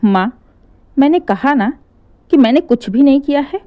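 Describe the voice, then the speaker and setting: A woman voicing a calm young adult son who denies the accusations. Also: sits high, moderate pace, highs cut off, pitched above the performer's own voice. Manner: calm, even.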